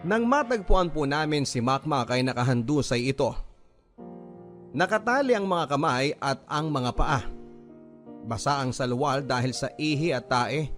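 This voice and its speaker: A man narrating a listener's letter in first person, in a measured, earnest storytelling manner. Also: medium pitch, expressive, a bit bright. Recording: clear music bed underneath.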